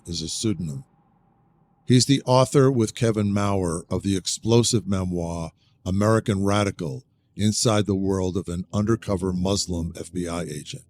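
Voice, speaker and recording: Male podcast host with a low voice. Earnest, formal scripted read, then short interview questions, over faint background noise. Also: darkish tone.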